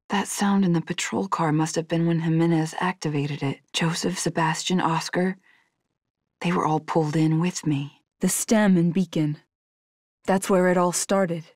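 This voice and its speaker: A woman's voice: on the low side, a little mellow, no echo, studio quality. A young woman voicing a guarded, determined female agent in a horror video game, serious and terse.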